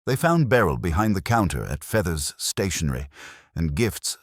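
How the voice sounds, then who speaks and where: A man's voice: deep voice, no room sound, studio quality. A man reading a scripted detective mystery as a narrator, in storytelling audiobook style.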